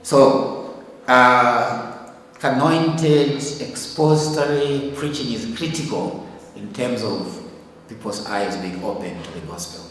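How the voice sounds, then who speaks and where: A man's voice: medium pitch, slow pace, fairly bright tone, a little hiss or hum. An older man from Zambia giving a church report in a hesitant, informal way, with many fillers and restarts. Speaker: ministry leader giving a report. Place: church hall. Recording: echoey hall, mic at a distance.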